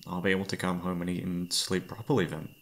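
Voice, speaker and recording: Gravelly, tired boyfriend, acted by a man. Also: low voice, little pitch movement, quick pace. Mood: weary, reluctant. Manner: tired, sighing, hesitant, soft-hearted. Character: exhausted, overworked, affectionate young boyfriend. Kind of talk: intimate ASMR roleplay acting.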